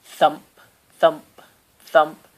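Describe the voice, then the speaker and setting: A woman reading a children's picture book aloud in a gentle, expressive storytelling manner. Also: extremely slow. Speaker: storybook reader.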